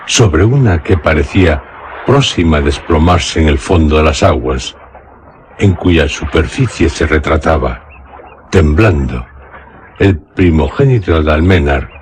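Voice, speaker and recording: Very deep, slow male narrator. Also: a little hiss or hum. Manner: measured, solemn. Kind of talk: scripted literary radio drama narration.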